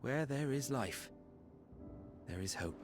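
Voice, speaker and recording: An actor playing a thoughtful, earnest young scholar in a fantasy game, with measured, formal phrasing. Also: male voice, mid-range voice, expressive. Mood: hopeful, solemn. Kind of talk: scripted game cutscene acting. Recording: prominent orchestral music behind. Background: music with strings.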